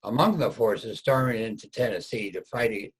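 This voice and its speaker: A man's voice: lowish voice, animated, moderate pace, husky, low-quality recording. A man reading scripted narration as the narrator of a reader's theater production.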